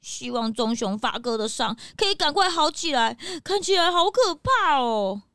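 A woman playing an excitable, sympathetic young townsperson in a children's audio drama. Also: sits high, normal speed, heavy background noise. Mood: alarmed.

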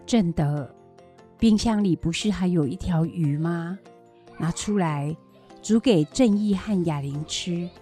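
A woman playing a kindly grandmother in a children's educational radio drama, with a husky, plain-spoken delivery. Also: fairly low pitch, slow pace, dark tone, soft background music. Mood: caring. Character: kindly grandmother raising her grandchildren, fond of fish heads.

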